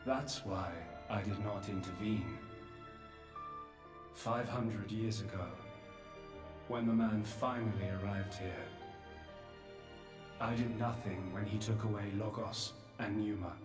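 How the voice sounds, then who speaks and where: An actor playing a solemn, remorseful, godlike old creator in a scripted video game drama, speaking in measured, philosophical phrases. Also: male voice, on the low side, fairly even intonation. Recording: roomy long reverb, distant, faint music.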